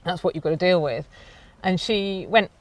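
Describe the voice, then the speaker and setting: A woman's voice: on the low side, normal speed. A woman in her fifties candidly telling her own story in a reflective way. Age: 50s. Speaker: interviewee. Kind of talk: personal testimony. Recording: slightly distant mic with faint background noise.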